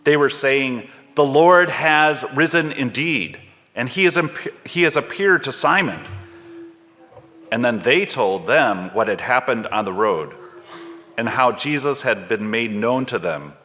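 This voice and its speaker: A man, a pastor, reading scripture expressively to a church service in measured storytelling phrases, stressing key words. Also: medium pitch, lively intonation, pushed and bright. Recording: reverberant hall sound.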